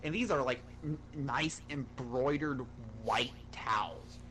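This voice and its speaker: A man telling a story in an animated, casual way, swearing and sounding exasperated as he goes. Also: mid-range voice, slow, slight background noise, poor audio. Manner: profane, exasperated, uses acted-out dialogue.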